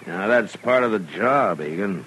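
An actor playing a steady, world-weary frontier lawman in an old-time radio western, plainspoken and unhurried, with an American accent. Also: lowish voice, slight background noise. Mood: relaxed, dry. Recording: old radio drama recording.

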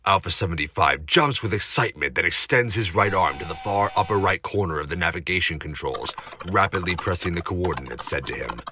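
A man's voice: low voice, animated, a little fast, hardly any pauses, background noise. A man narrating a scripted audio drama in a dramatic, suspenseful storytelling manner.

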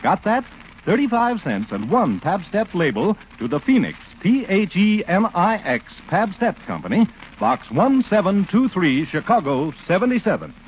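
Hugely animated vintage male radio pitchman. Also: normal speed. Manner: emphatic, repetitive selling. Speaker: commercial announcer. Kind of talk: 1940s radio commercial. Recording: muffled, hissy 1940s radio recording.

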